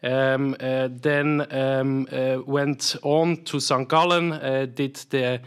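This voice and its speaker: A man in his thirties with a Swiss accent, answering interview questions about his career in a halting way, full of fillers and restarts. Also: medium pitch, flat delivery, clear, resonant tone. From Switzerland. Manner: hesitant, many fillers and repeated words. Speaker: private equity investment professional, guest.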